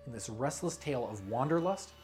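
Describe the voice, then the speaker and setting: Low-pitched animated man narrating a promo. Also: fairly quick, background noise. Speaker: creative director presenting a crowdfunding pitch.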